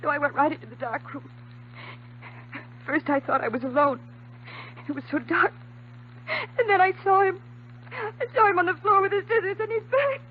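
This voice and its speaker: A shaken young woman, a doctor's assistant close to sobbing. Her voice is very high and distraught. It is old radio melodrama, thin and tinny with faint noise. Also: normal speed, long pauses. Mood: distraught, tearful. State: crying, shaken. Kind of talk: 1950s radio melodrama acting. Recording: tinny, noisy 1950s radio recording.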